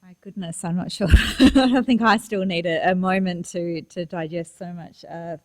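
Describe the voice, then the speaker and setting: Female moderator, low even voice, hesitant with fillers, dry close mic. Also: no room sound. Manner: halting, many fillers and restarts, warm. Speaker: event moderator. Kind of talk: chairing a Q&A.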